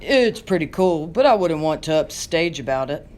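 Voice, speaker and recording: Woman acting as male 1920s baseball star, lowish voice, modest, easygoing, slangy, radio interview skit. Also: lively intonation, moderate pace, a little mellow. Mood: modest, pleased.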